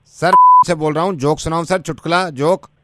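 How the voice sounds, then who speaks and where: A man's voice: a little high, steady tone, moderate pace, heavy background noise, lightly acted. A man playing a polite, insistent service agent on a radio prank call, deferential and persistent. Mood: cheerfully insistent. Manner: deferential, repeats "sir", persistent. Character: polite, insistent male service caller, earnest and officious.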